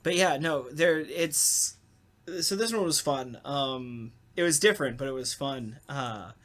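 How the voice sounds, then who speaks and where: A man, a voice actor, chatting casually and jokingly in a halting, rambling way. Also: medium pitch, fairly bright tone. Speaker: voice actor on a podcast talkback. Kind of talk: casual chat.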